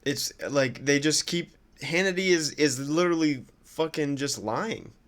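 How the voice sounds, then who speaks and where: A man's voice: a little high, unhurried, fairly bright tone. Sardonic man riffing on the news. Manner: sardonic, incredulous, foul-mouthed. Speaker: streamer commenting on news clips. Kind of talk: casual political reaction commentary.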